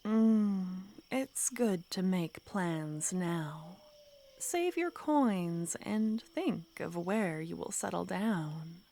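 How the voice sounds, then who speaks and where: Woman playing a slow, whispery possessive witch. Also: on the low side, very animated, exaggerated voice. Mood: intimate. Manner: soft, teasing, often whispered. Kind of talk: ASMR roleplay.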